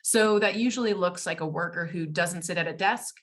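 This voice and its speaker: An American woman, a guest expert in a webinar interview, talking fluently in a polished and warm way. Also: fairly low pitch, fairly quick. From United States. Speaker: guest, tech company expert.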